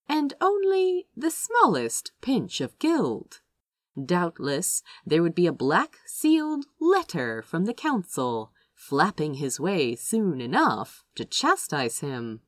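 Animated woman reading fiction, strongly varied intonation. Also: fairly low pitch, no echo, clean studio sound. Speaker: fan fiction reader. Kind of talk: expressive scripted narration of a fantasy story.

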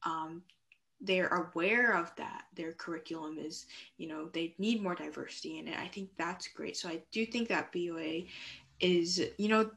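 A woman's voice: fairly low pitch, gravelly, a bit bright. A young woman speaking casually as part of a student group discussion.

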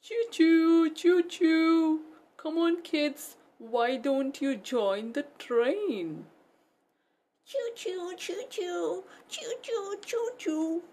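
A woman's voice: very high-pitched, very slow, dark tone, heavily acted. A woman playing a friendly, encouraging schoolteacher of small children in a storybook, cheerful and inviting. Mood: playful. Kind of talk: children's storybook read-aloud.

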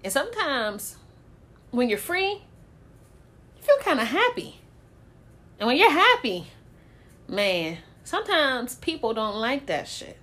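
A woman's voice: high voice, big pitch swings, slow, long pauses, pushed and bright. A woman talking candidly in a casual chat, blunt and swearing freely, often breaking into laughter.

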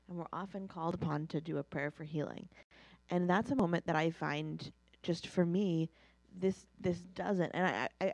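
Female class participant: reflective and earnest, creaky lower register, dry close recording. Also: darkish tone, dry recording, highs cut off.

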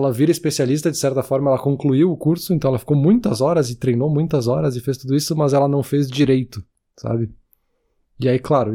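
Guy on a podcast, talks quick, warm darkish voice. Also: medium pitch. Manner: relaxed, full of "assim" and "cara" fillers. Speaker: podcast co-host. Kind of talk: casual podcast chat.

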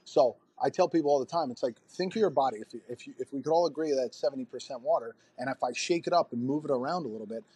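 A man in his forties talks in an energetic, rambling way with plenty of swearing. His voice is a bit husky, at an average male pitch. He sounds like a podcast host in a loose conversation. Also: rapid-fire, few pauses. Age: 40s. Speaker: fitness coach and podcast host.

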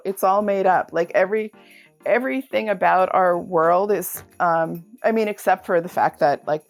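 Creaky, lowish-voiced woman giving casual advice. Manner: informal, repeats and rephrases for emphasis. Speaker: artist, podcast guest.

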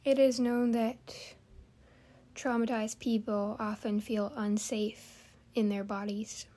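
A woman hosting a guided meditation, giving gentle, soothing instructions with long pauses. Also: fairly high pitch, flat delivery.

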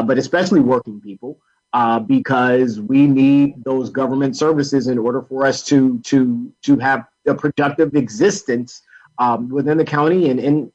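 Male American political interviewee, slightly high voice, halting delivery with restarts, muffled low-fidelity recording. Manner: halting, repeats words while explaining. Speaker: guest, labor council political director. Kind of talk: radio interview, political advocacy talk.

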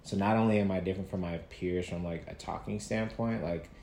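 A man in his thirties from Nigeria, a guest talking casually about his life, relaxed, with many fillers and restarts. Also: deep voice, brisk, vocal fry. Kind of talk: casual interview storytelling. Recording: echoey room with faint noise.

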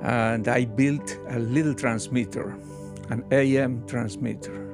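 An older man from Argentina, recounting his childhood as a guest in an interview with soft laughs. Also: mid-range voice, steady tone, music in the background. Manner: unhurried, warm recollection with soft laughs. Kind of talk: interview storytelling.

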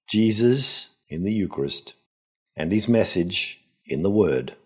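An older man, a priest and scholar, giving a scripted gospel reflection in a measured, solemn, emphatic way. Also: low voice, darkish tone, breathy edge.

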